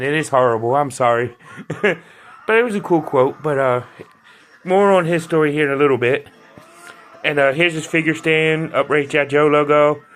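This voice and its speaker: A man's voice: on the high side, fairly bright tone. A man giving a loose, chatty video review of an action figure, with frequent restarts and fillers. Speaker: toy reviewer.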